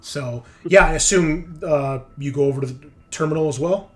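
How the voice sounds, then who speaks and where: A man narrating a tabletop roleplaying game as game master, improvising in a halting, conversational way. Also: on the high side, fairly bright tone.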